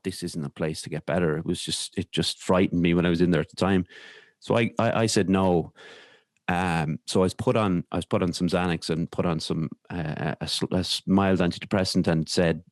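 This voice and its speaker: An Irish man telling a personal story as a podcast guest, in a halting, reflective way, repeating words as he goes. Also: low-pitched, darkish tone, no room sound, studio quality. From Ireland.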